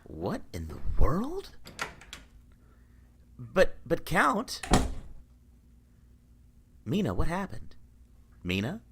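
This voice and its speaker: A man's voice: on the high side, huge pitch swings, very slow, long pauses, background noise, exaggerated voice. A man acting an earnest, troubled and courteous doctor in a vintage radio drama, speaking with theatrical urgency. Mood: bewildered. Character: desperate, baffled male doctor, earnest and courteous.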